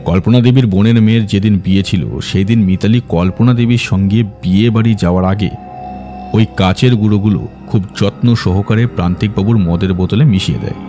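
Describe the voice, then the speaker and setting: A man playing a composed, confident detective who explains a case in a measured way in an audio drama. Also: on the low side, warm tone, faint music behind, close to an everyday voice. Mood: composed, explanatory. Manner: measured, expository. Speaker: detective character.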